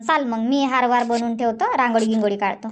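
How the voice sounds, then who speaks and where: A woman's voice: on the high side, fairly even intonation, fast, slight background noise, lightly acted. A woman playing a chatty, nagging but loving village wife in a cartoon comedy, speaking rural Vidarbha Marathi with emphasis. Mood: brisk, instructing. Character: bickering but loving Indian village wife and mother-in-law. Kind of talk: cartoon comedy dub dialogue.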